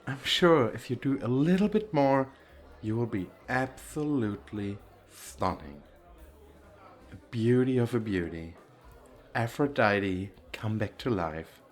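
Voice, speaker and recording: Man playing a slow, vain male model. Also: medium pitch, expressive. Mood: preening, flirtatious. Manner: boastful, theatrical, coquettish, with drawn-out pauses. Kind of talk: flirtatious ASMR roleplay monologue.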